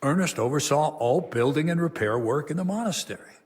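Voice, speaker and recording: A man's voice: on the high side, animated, fairly quick, lightly acted. A man playing a grave, stern medieval abbot. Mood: grave explaining. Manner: grave, authoritative, formal. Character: stern, burdened abbot of a plague-struck medieval monastery. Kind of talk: dramatic video game cutscene acting.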